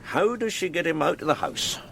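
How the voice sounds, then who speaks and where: An actor playing a wry, deliberate senior police detective, a man questioning his team in a radio drama. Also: on the high side, animated, vocal fry, fairly bright tone, a little hiss or hum. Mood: musing, sceptical. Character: wry senior detective leading a case briefing.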